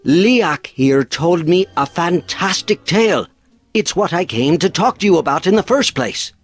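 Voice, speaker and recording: A man performs a fair-minded Victorian sea captain in an audio drama. The voice is a touch high and rough-edged, with lively movement in pitch. He speaks formally, with stern authority. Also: measured pace, soft background music. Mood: grave. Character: stern, fair-minded 19th-century British naval captain. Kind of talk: period audio drama acting.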